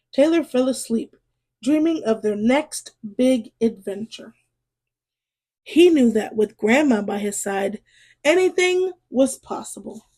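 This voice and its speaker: Woman, children's storyteller, high pitch, unhurried pace, expressive read-aloud delivery. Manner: slow, expressive, addressing young children.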